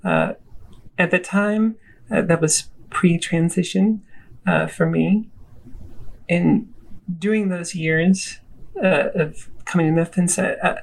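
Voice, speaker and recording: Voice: medium pitch, slow, long pauses, poor audio. An interview guest originally from Argentina who grew up in the US, casually telling a personal story. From Argentina, raised in the US from age eight. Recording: clearly audible background noise.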